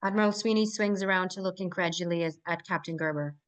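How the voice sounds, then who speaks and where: A woman's voice: lowish voice, quick pace. A woman narrator reading screenplay stage directions aloud at a live table read.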